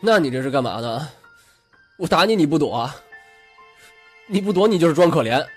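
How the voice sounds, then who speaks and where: A man's voice: a little high, expressive, brisk, husky. A man acting a foul-mouthed, hot-tempered younger brother in an audio drama, confrontational and emotionally raw. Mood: bitter, aggrieved. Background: background music.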